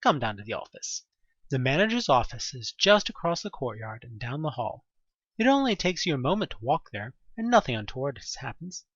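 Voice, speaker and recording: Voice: mid-range voice, big pitch swings, a little fast, a bit bright, slightly rough, dry recording, muffled recording. A narrator reading a scripted second-person story for an audio drama.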